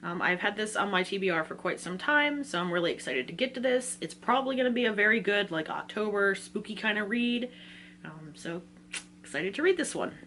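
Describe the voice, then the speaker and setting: A woman with a mid-pitched voice chats informally to her viewers as a book vlogger. Her manner is casual and self-deprecating. There is a faint hum and some noise in the recording. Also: animated, fairly quick, fairly bright tone. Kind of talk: casual chatty reading-list vlog.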